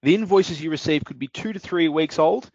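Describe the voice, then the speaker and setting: Male presenter, measured explainer, dry and dull lo-fi web audio. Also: fairly high pitch, a little mellow, no room sound. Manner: measured, explanatory, some fillers. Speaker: webinar presenter, business development manager. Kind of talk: product demo webinar.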